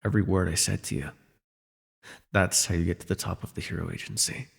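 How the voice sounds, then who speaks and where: A sardonic telepath supervillain held captive , acted by a man for an audio roleplay. His voice is heavily husky and full of gravel, with a wry, theatrical way of talking like a worldly old mentor. It rings in a long reverb over faint music. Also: deep voice, steady tone, brisk, full character voice. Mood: smug, needling. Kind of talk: immersive ASMR roleplay voice acting.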